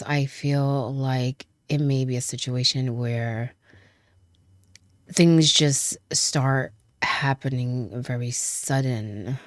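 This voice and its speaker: Very deep-voiced woman, stuffy from a cold. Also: dry recording, studio quality. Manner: chatty, laughs often, many fillers and trailing pauses. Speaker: tarot reader. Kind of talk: casual tarot reading to camera.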